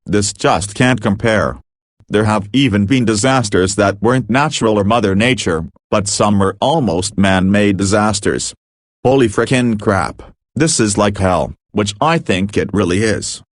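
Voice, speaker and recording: A man's voice: on the low side, expressive, unhurried, dry recording, clean studio sound. A man playing a frazzled emergency broadcast announcer in an amateur doomsday drama, mixing formal bulletins with slangy exclamations. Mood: dismayed. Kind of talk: amateur scripted emergency broadcast narration with informal outbursts.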